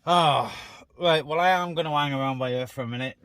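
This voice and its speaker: A man's voice: fairly high pitch, a little fast, fairly bright tone, clean tone, no echo, studio quality. A man operating CB radio, chatting casually and making calls in a hesitant, conversational way with radio phrases. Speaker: CB radio operator, net helper.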